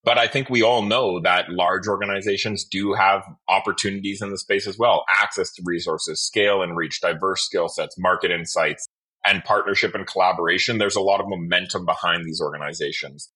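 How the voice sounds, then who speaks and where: A man with a very deep but bright voice conducts a conversational podcast interview. His tone is forward and slightly rough around the edges, with a husky grain. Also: steady tone, fairly quick.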